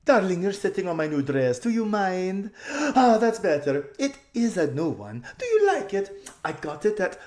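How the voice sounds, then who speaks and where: A man voicing a flighty, self-absorbed young noblewoman, gushing and drawling in a high, giggly voice for a storybook reading. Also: huge pitch swings, normal speed, a little mellow, roomy sound. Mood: breezy, self-absorbed. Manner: gushing, drawling, calls everyone darling.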